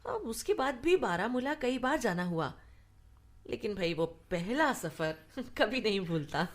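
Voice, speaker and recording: A woman's voice: on the high side, sing-song, a little slow, heavily acted. An actress in a Hindi radio play voicing an affectionate, hospitable elder sister who fusses over her guest and teases him familiarly. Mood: fond reminiscence.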